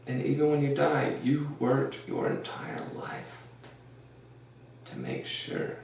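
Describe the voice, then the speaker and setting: A man hosting a casual podcast, with a deep, gravelly voice, rambling through an earnest pep talk full of fillers. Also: very animated, takes it slowly, a little mellow, distant mic. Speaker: podcast host. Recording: echoey room, off-mic, faint noise.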